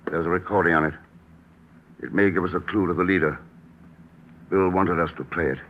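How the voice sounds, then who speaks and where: An actor playing a composed, shrewd secret agent, speaking in a measured, articulate way in a 1940s radio drama. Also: male voice, low-pitched, steady tone, natural voice. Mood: grave, thoughtful. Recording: muffled, hissy old radio recording.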